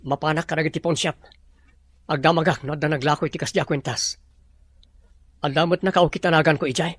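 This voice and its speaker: Man acting a commanding boss, fairly high voice. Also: steady tone, fairly quick, slightly rough, no echo. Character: scheming male boss giving orders to an underling. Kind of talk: Ilocano audio drama acting.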